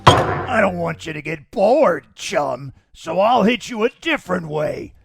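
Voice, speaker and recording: A man voicing a cocky, thuggish supervillain in a comic dub, gravelly and taunting in slangy menace. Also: high-pitched, lively intonation, a little slow, noisy background, heavily acted. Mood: mocking. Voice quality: gravelly, raspy. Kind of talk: comic book dub voice acting.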